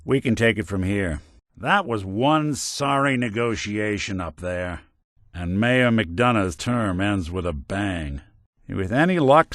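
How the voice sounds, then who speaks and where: A low male voice gives life to a decent, weary robot detective out of a noir video game. The delivery is wry and sardonic, with folksy tough-guy turns and lively rises and drops. The sound is very dry, with no room around it. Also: dry recording.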